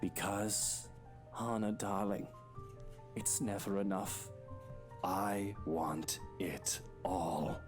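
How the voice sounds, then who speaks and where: A man's voice: low voice, fairly even intonation, drawn-out words, over music. A man voicing a greedy, scheming husband in a visual novel game, theatrical, condescending, mock-tender and menacing. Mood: cold greed.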